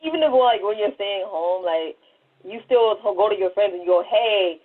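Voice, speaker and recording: A woman's voice: mid-range voice, animated, normal speed, narrow, tinny audio. A woman chatting casually and animatedly, slipping into slangy acted-out dialogue with friends. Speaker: podcast host. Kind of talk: casual group chat.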